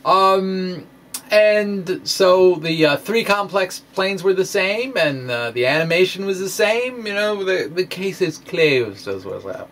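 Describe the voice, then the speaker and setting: A man giving an informal explanatory lecture in a halting, conversational way, as if thinking aloud. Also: high voice, animated, fairly bright tone, a little hiss or hum. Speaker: presenter of a physics blog. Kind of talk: informal explanatory lecture, dramatic reading of a blog post.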